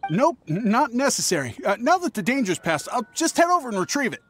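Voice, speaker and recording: An actor playing a nervous, shifty young merchant in a fantasy game, hesitant, stammering and evasive. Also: male voice, very high voice, big pitch swings, fast, a bit bright, soft background music, exaggerated voice. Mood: panicky, evasive. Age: young adult. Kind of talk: scripted fantasy game voice acting.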